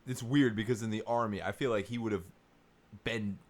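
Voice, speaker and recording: A man's voice: fairly low pitch, steady tone, brisk. A man co-hosting a podcast, chatting casually in an opinionated, questioning way. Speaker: podcast co-host. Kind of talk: casual chat.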